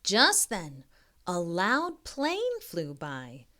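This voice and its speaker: A woman reading a children's picture book aloud in an expressive, teacherly way, with chatty asides to her listeners. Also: fairly low pitch, extremely slow.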